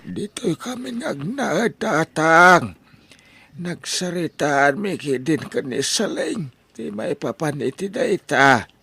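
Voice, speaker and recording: A man as a meek, husky, high-voiced Ilocano son-in-law. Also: sing-song, slow, pushed and bright, dry recording, exaggerated voice. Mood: meek, plaintive. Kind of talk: comedy radio drama acting.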